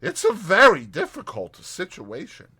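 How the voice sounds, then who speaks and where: A sensible water rat from a storybook, played by a man, practical and a little fretful. He advises earnestly in a raised voice with very lively intonation. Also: sits high, measured pace, husky, voice pushed up, heavily acted.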